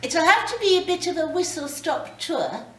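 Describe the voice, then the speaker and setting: A woman's voice: extremely high pitch, sing-song, normal speed, pitched above the performer's own voice. A woman voicing a reasonable, slightly impatient female ghost guide from a short story, in a lecturing manner. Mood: brisk. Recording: roomy, distant mic, faint background noise.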